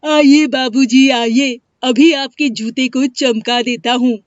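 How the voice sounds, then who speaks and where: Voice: high-pitched, steady tone, takes it slowly, dry recording. Performer plays young male cobbler in a moral-story cartoon: meek, deferential, plaintive, close dry lo-fi sound. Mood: eager, polite. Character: poor, humble, pleading orphan cobbler boy. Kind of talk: animated moral-story dialogue. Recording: dry, dull lo-fi studio sound.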